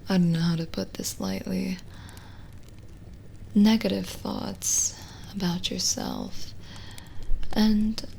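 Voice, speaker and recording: A woman playing a lonely, gentle, teasing witch reading palms in a soft-spoken, whispering ASMR roleplay. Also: mid-range voice, fairly even intonation, gravelly, slight background noise. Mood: tender, reassuring. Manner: slow, hesitant, intimate, teasing.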